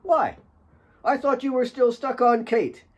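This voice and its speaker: A man's voice: very high voice, unhurried, muffled recording, pitched above the performer's own voice. A man voicing a jolly, cheeky young mine worker in his twenties, joking and cheerful, in an audiobook.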